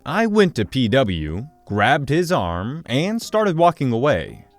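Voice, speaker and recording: A man reads an online story aloud, with his pitch swinging widely as he tells it. Soft music plays behind him. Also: takes it slowly, no room sound. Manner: animated storytelling. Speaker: story narrator. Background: soft background music.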